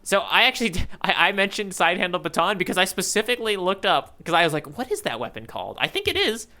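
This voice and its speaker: A man's voice: high voice, big pitch swings, quick pace, pushed and bright. A man chatting casually and enthusiastically as a podcast co-host, recapping a story. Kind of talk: casual chat recapping a manga chapter.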